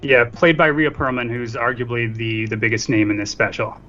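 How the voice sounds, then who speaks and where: Bright-voiced joking man, slightly dull recording. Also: mid-range voice, steady tone, moderate pace. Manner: joking, self-deprecating. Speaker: podcast guest co-reviewer. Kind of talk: casual film discussion. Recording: dull lo-fi audio, faint music.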